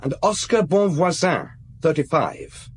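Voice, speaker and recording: An actor playing a dutiful young police assistant in a radio drama, reporting to his chief in a deferential, brisk way. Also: on the high side, expressive, slight background noise. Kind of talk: 1990s BBC radio drama acting.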